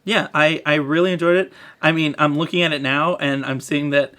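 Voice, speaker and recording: Guy on a podcast, a bit high pitched, easygoing and jokey, chatting about movies. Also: steady tone, a little fast, sharp tone. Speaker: podcast host. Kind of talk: casual film review chat.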